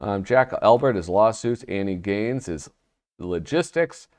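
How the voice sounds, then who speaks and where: A man's voice: lowish voice, expressive, unhurried, a little mellow. Chatty nasal man with a cold. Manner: chatty, self-deprecating, rambling asides. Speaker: host of a magazine review channel. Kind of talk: casual solo review vlog.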